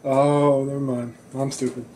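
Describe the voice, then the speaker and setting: A man's voice: medium pitch, little pitch movement, measured pace, a little mellow, a little hiss or hum. A young man bantering dryly and self-deprecatingly at a home studio desk.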